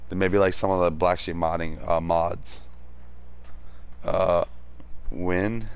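A man's voice: flat delivery, slow. A man with a sore throat, chatting casually and rambling with many fillers while livestreaming a game. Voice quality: deep and gravelly. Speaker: streamer.

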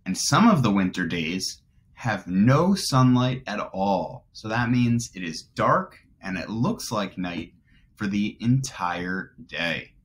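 A man in his twenties, a teacher reading aloud to young children and explaining as he goes, deliberately stressing key words. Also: fairly low pitch, big pitch swings, a little hiss or hum. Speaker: first grade teacher.

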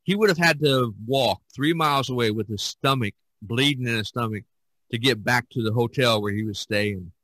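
A man hosting a podcast discussion, talking in an animated, emphatic way with many restarts. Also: mid-range voice, moderate pace, no echo, rough recording. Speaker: podcast host. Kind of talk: casual discussion.

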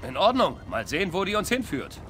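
An actor playing a young male soldier leading his squad in a German game dub, dry and wry, shouting in combat. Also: sits high, huge pitch swings, brisk, exaggerated voice. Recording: loud game music behind.

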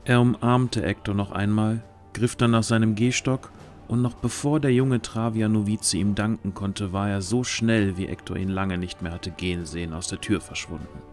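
A man's voice: fairly low pitch, normal speed. A man narrating a scripted fantasy audio drama as its storyteller. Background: music.

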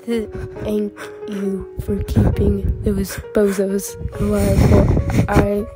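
A woman voicing a big, gentle, protective mutant turtle brother in a cartoon comic dub, tender and reassuring. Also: medium pitch, completely flat delivery, slow pace, over loud music, close to an everyday voice, low-quality recording. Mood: fond. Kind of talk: fan comic dub acting.

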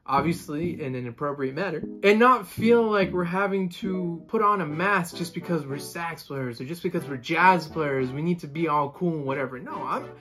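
A man's voice: fairly high pitch, moderate pace, hardly any pauses, fairly bright tone, faint music behind. A Colombian-born young man in his twenties, a saxophonist vlogger, chatting casually to the camera from a room at home and gesturing with both hands. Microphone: camera in front, seated.

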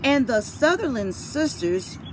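A woman in her thirties reading a children's picture book aloud like a teacher, deliberate and dramatic, recorded outdoors. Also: high-pitched, sing-song. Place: outdoors by a brick house. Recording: outdoor ambient noise, birds, vehicles.

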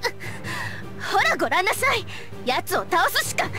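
An actress playing a haughty, easily flustered young princess in an anime-style visual novel. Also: female voice, very high voice, sing-song, a little fast. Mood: haughty, awed. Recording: game music behind voice.

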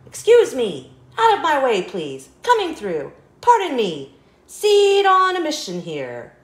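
A woman's voice: high voice, huge pitch swings, slow, roomy sound, voice pushed up, full character voice. A woman voicing a grumpy, anxious, scheming male sunflower seed in a children's storybook, with dramatic, exclamatory delivery. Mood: loud, urgent. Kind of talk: children's storybook read-aloud.

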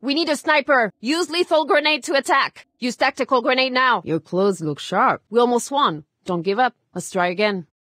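High-voiced female fighter. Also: moderate pace, few pauses, a bit bright, dry recording, clean studio sound. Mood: eager. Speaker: game operator. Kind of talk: video game voice lines.

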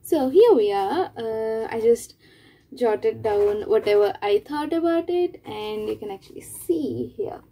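A woman's voice: on the high side, lively intonation, measured pace, warm tone. A young woman giving a casual book review to camera, thinking aloud hesitantly in a room at home. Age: young adult. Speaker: book vlogger. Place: small room at home, indoors.

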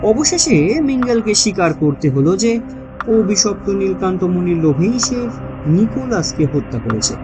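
A woman narrating a mystery story in a dramatic, scripted style with deliberate, expressive phrasing. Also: mid-range voice, low-quality recording. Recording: music bed behind the voice. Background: background music.

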